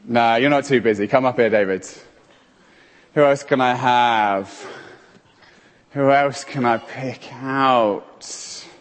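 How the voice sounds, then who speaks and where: A man's voice: medium pitch, slow pace, long pauses. A man preaching an interactive sermon on a handheld mic, playful and calling people up by name. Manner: playful, animated, calls on people. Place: church hall. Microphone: handheld microphone. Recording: hall reverb.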